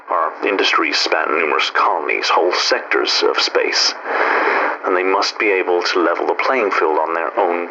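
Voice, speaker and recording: Low-voiced industrialist on lo-fi log. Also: male voice, vocal fry. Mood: resolute. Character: driven male colonial industrialist recording a research log. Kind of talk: audio drama log monologue. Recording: noisy lo-fi radio-style log recording.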